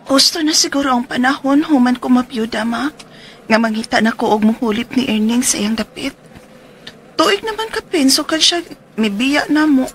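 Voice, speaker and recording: A woman's voice: high voice, expressive, moderate pace, a little hiss or hum. An actress with a Cebuano Filipino accent playing a hopeful, eager widowed mother of two in a radio drama. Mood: earnest.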